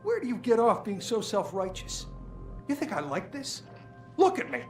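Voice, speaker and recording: A man's voice: sits high, wildly swooping pitch, moderate pace, a little mellow, faint music behind. A man voicing a bitter, demoted male police desk sergeant in a game, talking defensively. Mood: resentful. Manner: defensive, resentful.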